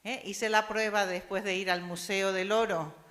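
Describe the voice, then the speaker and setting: A woman's voice: medium pitch, fairly even intonation, normal speed, fairly bright tone. A woman from Spain with a Spanish accent, giving a discursive academic comment at a university panel and often adding tag questions. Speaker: audience member asking questions at an academic panel. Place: university lecture hall. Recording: long hall reverb.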